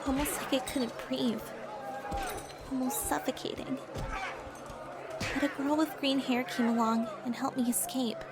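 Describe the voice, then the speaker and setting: A woman playing a traumatized, fearful young bard who tells her story in an audio drama. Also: sits high. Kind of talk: audio drama narration with emotional acting. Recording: music under the voice.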